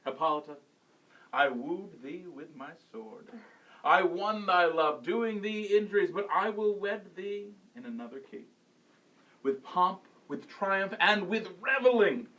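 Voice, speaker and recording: A man playing a stately noble duke in a live Shakespeare reading, measured and formal, a little distant from the microphone. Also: high-pitched, expressive, sharp tone, full character voice. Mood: lordly.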